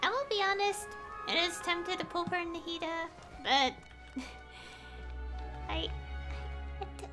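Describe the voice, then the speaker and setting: A woman's voice: very high voice, unhurried, pauses often. A young woman streamer chatting casually and giggling while she plays, with lots of fillers. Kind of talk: casual gameplay commentary. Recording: stream mic over loud game music.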